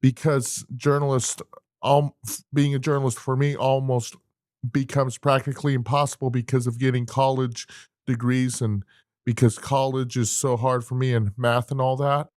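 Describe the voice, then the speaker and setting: Man, mid pitch, earnest, halting, restarts sentences, dry close mic. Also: flat delivery, darkish tone, no echo. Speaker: podcast co-host. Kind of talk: casual podcast chat.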